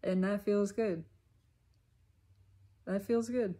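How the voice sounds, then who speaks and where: A woman giving a reflective self-help monologue, unhurried and emphatic, often repeating her phrases. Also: medium pitch, fairly even intonation, warm tone.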